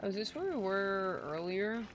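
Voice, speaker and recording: A woman's voice: mid-range voice, animated, normal speed, noisy background. A woman streaming a game, giving casual commentary in a playful, slangy way.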